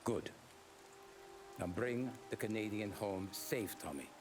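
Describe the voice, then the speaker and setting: An actor playing a calm male mob lieutenant who gives orders in a measured way, in a video game cutscene. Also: on the low side, noisy background. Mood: commanding. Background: score music.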